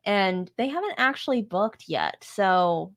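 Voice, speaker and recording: A woman's voice: medium pitch, animated, unhurried, fairly bright tone. Friendly chatty woman on a podcast, laughs a lot, easy back-and-forth. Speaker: podcast co-host. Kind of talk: casual chat.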